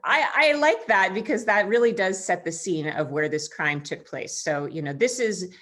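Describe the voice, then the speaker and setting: A woman's voice: medium pitch, animated, normal speed, a bit bright. A woman co-hosting a book club discussion who chats casually and reads passages from the novel aloud. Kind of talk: casual discussion and reading quotes.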